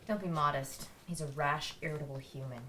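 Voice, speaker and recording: A woman playing a polite, flattering android with a sinister streak, speaking deliberately at a script rehearsal. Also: low-pitched. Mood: smooth, probing. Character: smooth, flattering, secretly sinister female android admirer. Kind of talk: table read of a fan film script. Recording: noisy room recording.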